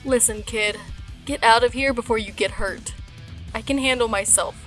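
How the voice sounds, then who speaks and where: A woman's voice: a little high, moderate pace, a bit bright, soft background music, natural voice. A woman voicing a blunt, sardonic adventurer in a fantasy audio story, tough and hard to impress. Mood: wary, dismissive. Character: tough, skeptical female fantasy adventurer, dryly unimpressed. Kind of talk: dramatized fiction audiobook.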